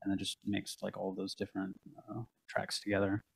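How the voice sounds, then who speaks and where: A man in his late twenties, a band member who records and mixes the band himself, talking in a relaxed way in a casual interview. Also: sits low, a little fast, gravelly, husky, no echo. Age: late 20s. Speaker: band member and self-taught recording engineer.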